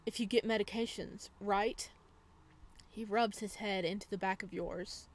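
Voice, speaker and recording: A woman at an average pitch reads story text from a visual novel aloud. She is a gaming YouTuber who adds casual commentary as she goes. Faint noise and game ambience sit behind her voice. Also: fairly even intonation, unhurried.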